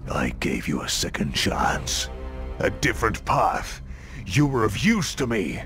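An aged, evil sorcerer from a fantasy game, gloating and domineering. His low voice swings widely through slow, theatrical threats, over clear background music. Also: a bit bright, exaggerated voice. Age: older. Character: villainous old sorcerer tyrant, gloating, domineering, possessing a wizard's body. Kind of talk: video game cutscene acting.

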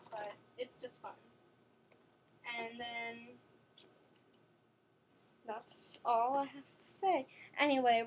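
A woman's voice: a little high, heavy background noise. A teenage girl from New chatting rambly about her skin products in her bedroom, recorded on a webcam. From New York City. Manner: rambling, chatty, with many fillers and self-corrections. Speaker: beauty vlogger. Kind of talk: casual product-review vlog. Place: bedroom at night, indoors. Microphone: webcam at desk.

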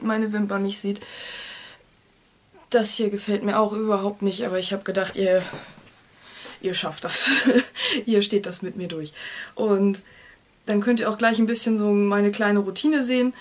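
A young woman with a cold, chatting casually and self-deprecatingly while doing her make-up in a small bedroom, recorded on a webcam. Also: medium pitch. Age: young adult. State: has a cold. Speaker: vlogger. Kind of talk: casual make-up vlog chat. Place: small cluttered bedroom, indoors. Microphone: webcam at desk.

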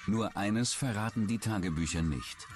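A man narrating a true-crime documentary in a measured, suspenseful way from a script. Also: lowish voice. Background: background music.

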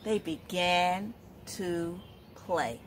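A middle-aged woman reading a picture book aloud to young children, expressive and asking questions as she goes. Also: fairly low pitch, very slow, a little hiss or hum. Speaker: storytime host, literacy educator. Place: outdoors, in front of leafy shrubs.

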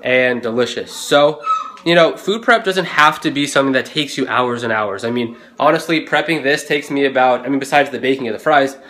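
A young man talking casually into a phone camera he holds at arm's length, chatting about his fitness routine and food prep in a relaxed, motivational way. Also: mid-range voice, brisk, a little hiss or hum. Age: young adult. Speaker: fitness vlogger. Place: condo gym, then home kitchen.

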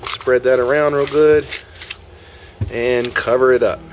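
A man's voice: mid-range voice, fairly even intonation, a little slow, music in the background, low-quality recording. A man hosting a homestead video, talking casually through a hands-on demonstration outdoors in a yard, folksy and step by step. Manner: folksy, talks through each step while working.

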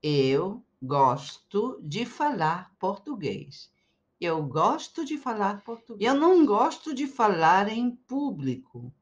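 Slow, lively middle-aged woman teacher. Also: medium pitch, dull audio. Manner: slow, carefully articulated repetition. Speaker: Portuguese teacher. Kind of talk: slow repeated phrase drills for learners. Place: indoors, webcam in a circle overlay.

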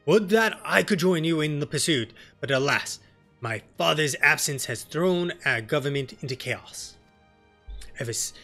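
A man voicing a grave, noble knight commander in a fantasy game, formal and measured. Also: on the high side, sharp tone. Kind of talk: fantasy game dialogue reading. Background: game music.

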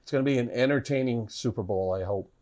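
A man's voice: mid-range voice, quick pace, darkish tone. A middle-aged man hosting a cooking show from a kitchen set, chatting upbeat about football while he cooks. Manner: chatty, upbeat sports talk. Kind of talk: casual chat while cooking.

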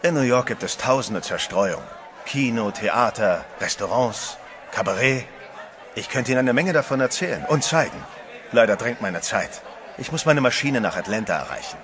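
A man's voice: mid-range voice, lively intonation, normal speed, a crowd in the background, noisy background, natural voice. An actor playing a composed adventurer who narrates his own story in a horror radio play. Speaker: first-person narrator. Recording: music bed under the voice.

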